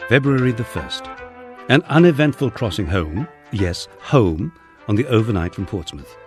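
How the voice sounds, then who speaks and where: British male narrator, low-pitched voice, very animated intonation, wry scripted memoir reading. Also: normal speed, over music. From United Kingdom. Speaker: audiobook narrator.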